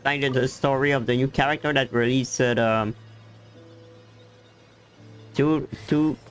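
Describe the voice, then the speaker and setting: A man giving casual live game commentary as a streamer, explaining things haltingly in non-native English grammar. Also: mid-range voice, fairly even intonation. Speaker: gaming streamer. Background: faint game music.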